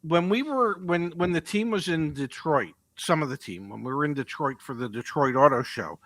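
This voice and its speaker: An older American man hosting a conversational podcast interview in a deliberate, storytelling manner. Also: fairly high pitch, expressive, a bit bright, dry recording. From United States. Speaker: podcast host.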